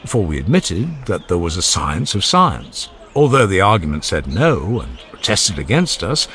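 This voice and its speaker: A man narrating a dramatized classical dialogue in a formal, measured and expressive oratorical manner. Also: low-pitched, slight background noise. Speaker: audiobook narrator. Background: ambient sound effects.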